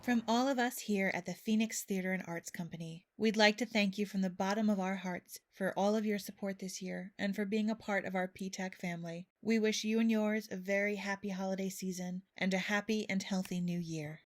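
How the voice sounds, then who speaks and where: A woman reading scripted narration as announcer in a measured, formal way. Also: mid-range voice, steady tone.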